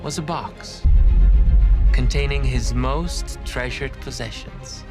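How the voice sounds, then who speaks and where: A man narrating a comic intro film in a mock-dramatic, deadpan way. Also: medium pitch, slow, loud music behind the voice. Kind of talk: scripted comic voice-over.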